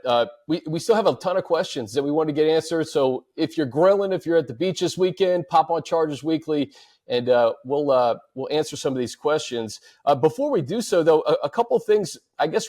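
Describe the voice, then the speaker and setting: A man's voice: fairly high pitch, a little mellow. A man hosting a sports podcast, chatting in a casual, informal and upbeat way. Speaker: podcast host.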